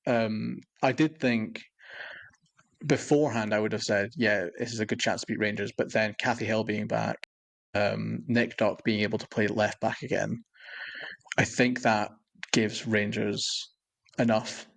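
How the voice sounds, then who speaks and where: Guy on a footy podcast, flat delivery, raspy husky voice, matter of fact breakdown. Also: lowish voice, a little slow. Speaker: sports podcast co-host. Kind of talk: casual football discussion.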